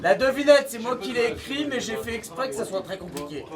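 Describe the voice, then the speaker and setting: Male, very high voice, casual prompting banter, clear crowd noise around him. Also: expressive, brisk. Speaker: riddle writer egging on the group.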